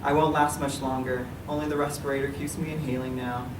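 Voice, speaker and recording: A man giving a measured, solemn reading of a literary piece before a live event. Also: medium pitch, little pitch movement. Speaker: writer reading own work. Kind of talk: literary reading, dramatic monologue in verse. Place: public reading in a library room. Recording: echoey room, distant mic, clear noise.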